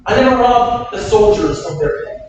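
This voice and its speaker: A man reading a proud, wronged noble duke in a staged Shakespeare reading, with measured, declamatory verse. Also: sits high, lively intonation. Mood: indignant. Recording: echoey hall, far from mic, faint noise.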